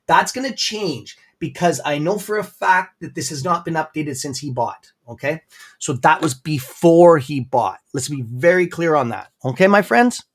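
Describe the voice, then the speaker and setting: Rambling Canadian man, slightly high voice. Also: lively intonation, moderate pace. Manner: rambling, filler-heavy, friendly. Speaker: guest presenter on a stock livestream. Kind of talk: casual livestream chat.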